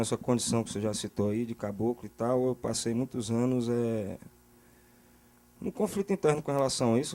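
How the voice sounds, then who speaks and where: A man's voice: medium pitch, mellow. A man with a Brazilian accent giving casual, opinionated talk as an interview guest, colloquial and often checking he is understood. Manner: colloquial, repeats words, often checks the listener follows.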